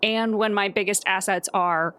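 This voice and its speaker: A woman playing a tabletop game, talking casually with a wry, self-deprecating, animated manner. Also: mid-range voice, normal speed.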